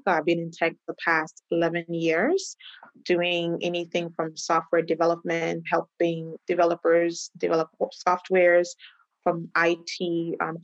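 A woman from Ghana, speaking as a podcast guest, talks in a relaxed and reflective manner. Her voice sits a little low, and the recording is close and dry, with no room sound. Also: no room sound, highs cut off. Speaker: guest, tech professional and founder. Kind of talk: podcast interview.

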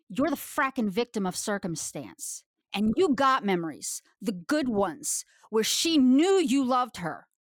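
Slow, husky, tough woman. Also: mid-range voice, no echo, studio quality. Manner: blunt, confrontational. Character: tough, blunt, regretful woman in space underworld. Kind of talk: sci-fi audio drama acting.

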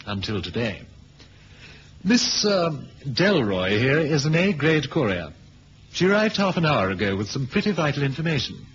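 Headmasterly British intelligence chief, animated and fussy, radio drama briefing, slightly hissy recording. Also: male voice, mid-range voice, normal speed. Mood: exasperated. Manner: clipped, officious, headmasterly. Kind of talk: 1970s radio drama acting. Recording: dull lo-fi old radio recording, hiss.

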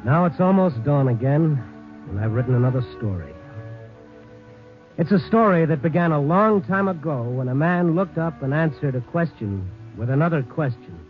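An actor playing a firm, earnest, world-weary newspaper reporter in a 1950s radio drama, in a measured manner. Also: male voice, fairly high pitch, animated, lightly acted. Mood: reflective. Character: steady, world-weary male big-city newspaper reporter. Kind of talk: 1950s radio drama acting and first-person narration. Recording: muffled old radio recording, faint music.

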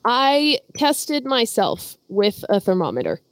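A young woman co-hosts a casual podcast and trades jokes with her partner. She is recovering from a head cold. Her voice sits fairly high and jumps around a lot, recorded dry and close. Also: huge pitch swings, slow, no echo. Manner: playful and teasing. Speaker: podcast co-host. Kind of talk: casual banter.

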